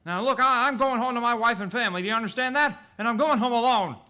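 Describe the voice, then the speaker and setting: A man's voice: very high-pitched, quick pace, pushed and bright. A man playing a desperate, agitated family man who stammers and repeats himself in a radio play.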